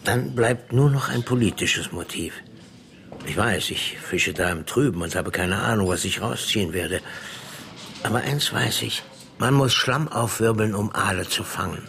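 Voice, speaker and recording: An actor in a German radio play playing a shrewd, threatening police inspector who interrogates bluntly in slang. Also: male voice, fairly low pitch, moderate pace, creaky, fairly bright tone. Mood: calculating.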